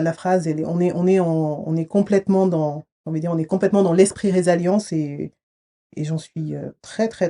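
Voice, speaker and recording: A woman's voice: on the low side, dark tone. A woman hosting a podcast interview, chatty and searching for her words as she asks her questions. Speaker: podcast host.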